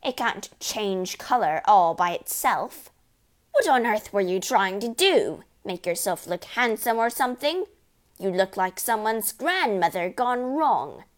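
A woman's voice: mid-range voice, very animated, measured pace. A woman voicing a scornful, scolding storybook mother, exasperated and apt to shriek at her husband. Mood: appalled scorn.

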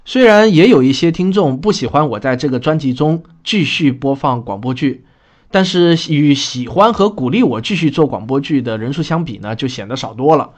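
A man with a mid-pitched voice hosts a podcast. He talks to his listeners in an orderly, explanatory manner, as if working through prepared remarks. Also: lively intonation, normal speed. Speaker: podcast host and radio drama writer. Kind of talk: scripted spoken show commentary.

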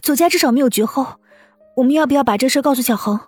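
An anxious, soft-hearted mother in an audiobook, voiced by a woman. She questions with emotional urgency in a lively, swooping, slightly husky voice, recorded dry with faint music behind. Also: fairly high pitch, brisk, no echo, voice pushed up. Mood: surprised, eager. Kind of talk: audiobook character acting.

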